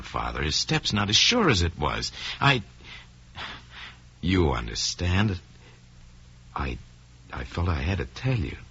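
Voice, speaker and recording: Male narrator as anxious young man, halting, pleading, very husky, swooping pitch, dull radio recording. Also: a bit bright, full character voice. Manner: halting, pleading, repeats himself. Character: troubled young man feigning earnest concern, secretly scheming. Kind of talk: old-time radio drama acting.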